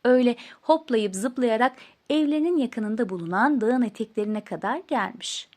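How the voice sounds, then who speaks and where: A woman storyteller narrating a scripted fairy tale with an expressive storybook delivery. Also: fairly high pitch, moderate pace.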